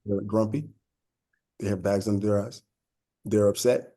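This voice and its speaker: Mellow low-voiced man in fitness. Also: steady tone, normal speed. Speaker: fitness professional. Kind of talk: virtual panel discussion. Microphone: video call.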